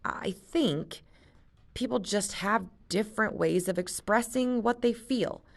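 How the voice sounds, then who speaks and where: A woman voicing a timid, gentle boy in a read-aloud story, speaking softly. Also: medium pitch, slow, creaky, voice pushed up. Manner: timid, soft-spoken.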